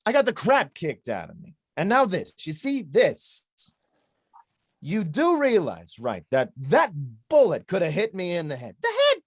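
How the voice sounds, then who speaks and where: A man as an exasperated, high-voiced sidekick. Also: wildly swooping pitch, a little slow, no room sound, studio quality, heavily acted. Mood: indignant. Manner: indignant, emphatic complaining with sarcastic build-ups. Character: exasperated, put-upon male sidekick of a detective. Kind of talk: comic noir stage acting.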